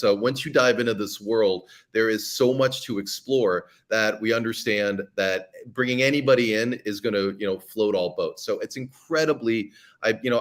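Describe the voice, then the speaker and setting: A middle-aged man who creates fiction podcasts, talking earnestly as an interview guest and often restarting his sentences. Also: lowish voice. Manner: earnest, with frequent restarts and repeated words. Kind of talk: conversational interview answers.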